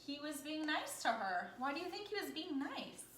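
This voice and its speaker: A woman with a fairly high, very animated voice reads a picture book aloud like a teacher. Her delivery is bright and encouraging, with questions along the way. The recording is echoey with faint noise. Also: normal speed. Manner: expressive, encouraging, often asking questions. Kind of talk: interactive children's story read-aloud.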